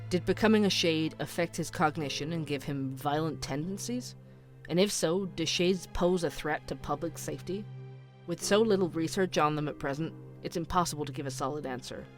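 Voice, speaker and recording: Actor as a higher-voiced male podcast investigator. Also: fairly quick, faint music behind, close to an everyday voice. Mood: musing, analytical. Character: thoughtful male true-crime podcast host, inquisitive, methodical. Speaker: podcast host. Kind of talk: scripted investigative podcast narration.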